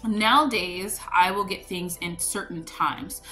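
A woman in her thirties talks casually to her camera, laughing lightly as she explains her shopping habits. Her voice sits a little low for a woman. Faint music plays under her. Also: a little slow, sharp tone, rough recording. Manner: chatty, explains with hand gestures, laughs between points. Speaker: homestead vlogger. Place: home room, later a grocery store and kitchen counter.

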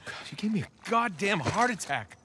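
An actor playing a wry, weary, middle-aged American adventurer in a video game, talking casually and sometimes hesitantly. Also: male voice, high voice, sing-song, moderate pace, noisy background. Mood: alarmed. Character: wry, weary adventurer man, affectionate and easygoing. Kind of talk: cinematic video game acting, naturalistic.

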